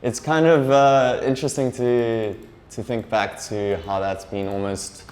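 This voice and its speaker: A man's voice: mid-range voice, flat delivery, a little slow, a little mellow, roomy sound, slight background noise. A young man hosting a podcast interview, casual and hesitant, with many "uh"s and restarts. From Durban, South Africa. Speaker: podcast host.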